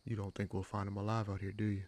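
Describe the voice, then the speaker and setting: A man playing a gruff but caring human bandit leader in a fantasy audio roleplay, wry and protective, with informal, halting phrasing. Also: completely flat delivery, a little mellow, natural voice. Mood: steady, concerned. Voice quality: gravelly, husky low voice.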